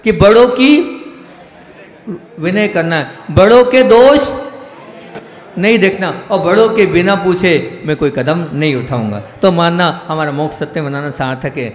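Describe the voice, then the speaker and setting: High-voiced man preaching in an echoey hall. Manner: rhetorical questions to the audience, repeating phrases for emphasis; swings between raised emphatic lines and quiet low asides. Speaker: Jain monk giving a discourse. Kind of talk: sermon. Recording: echoey hall with long reverb.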